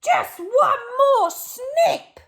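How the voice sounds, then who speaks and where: A woman voicing Len, a greedy, stubborn male robber in a children's storybook, with a shrill, whiny delivery. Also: sing-song, extremely slow, a bit bright, full character voice. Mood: peevish.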